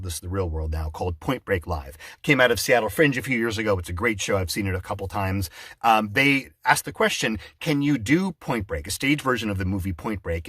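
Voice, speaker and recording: A man speaking as a theatre director in a one-sided conversation, explaining his show in a halting, digressive way and often breaking off to answer an unheard listener. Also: lowish voice, fairly bright tone, husky, no room sound, studio quality. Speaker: theatre director, adapter and announcer. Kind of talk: one-sided mock conversation promoting an audio drama event.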